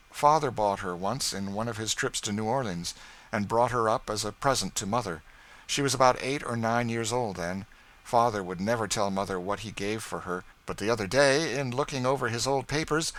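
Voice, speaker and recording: A man voicing a courteous young gentleman in an audiobook, frank, polite and informative. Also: medium pitch, moderate pace, pitched above the performer's own voice, lightly acted. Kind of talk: audiobook character dialogue.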